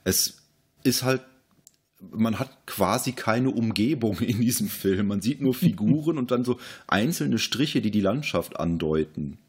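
Male podcast co-host, low-pitched husky voice, casual film talk. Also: animated, measured pace, darkish tone.

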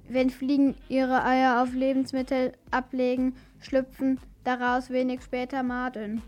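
A female performer playing a stern, matter-of-fact household robot in a children's radio play, instructing in a deliberate way. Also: high-pitched, darkish tone. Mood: stern, instructive.

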